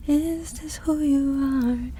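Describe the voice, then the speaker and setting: A woman doing ASMR, singing softly and gently in a breathy voice that often drops into a whisper. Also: sits high, steady tone, slow pace, slight background noise. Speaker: ASMR performer.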